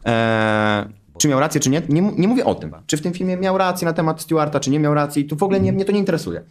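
Young guy, rapid and emphatic, defensive, swears a lot; studio desk mic. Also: fairly high pitch. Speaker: guest on a stream. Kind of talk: heated interview answers. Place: studio with wooden wall. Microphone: desk microphone.

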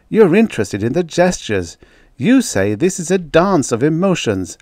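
A man's voice: mid-range voice, dry recording, clean studio sound, pitched above the performer's own voice, lightly acted. A man voicing a male official in charge who mediates with firm, mollifying words.

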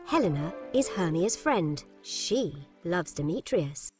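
A woman narrating a children's story in simple, clearly scripted lines with expressive delivery. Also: on the low side, slow pace. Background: music.

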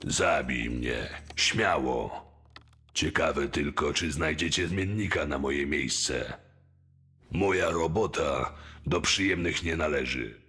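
A man playing an exasperated, mocking mine boss in an audio drama, complaining in a forceful manner. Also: rumbling low, takes it slowly, slightly rough, exaggerated voice. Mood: defiant, exasperated.

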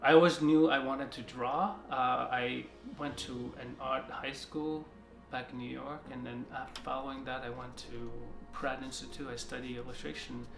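A man in his forties from China, talking in a soft, halting way with many restarts during a seated interview in an art studio. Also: medium pitch, flat delivery, creaky. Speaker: artist. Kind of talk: reflective interview talk. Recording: roomy studio sound with music behind.

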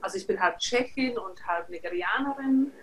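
A woman in her thirties from Czechoslovakia speaks as a guest coach over a webcam. Her voice sits mid-height, and she tells her story in a lively way with many pauses and fillers. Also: mid-range voice, a little hiss or hum, low-quality recording. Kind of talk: interview storytelling. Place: studio room with teal backdrop.

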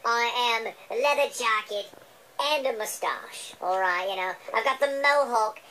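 A man's voice: very high-pitched, moderate pace, bright, forceful tone, slight background noise, voice pushed up. A man voicing a small, furry, vain British chipmunk who rambles hesitantly on a comic radio show. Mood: smug, confiding. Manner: rambling, hesitant, self-important. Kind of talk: comic radio character banter.

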